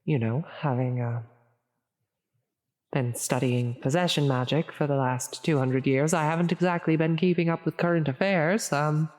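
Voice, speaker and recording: A woman voicing a grandiose female demon in an ASMR audio roleplay, theatrical and formal, with halting delivery. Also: deep voice, fairly even intonation, a little mellow, close to an everyday voice. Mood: flustered, concerned. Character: menacing female demon, grandiose, increasingly flustered and concerned. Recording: long cavernous reverb.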